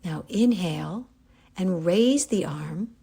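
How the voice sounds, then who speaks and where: A woman guiding a yoga nidra relaxation, giving calm, gentle, unhurried instructions with pauses. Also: lowish voice, husky. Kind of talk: guided relaxation meditation.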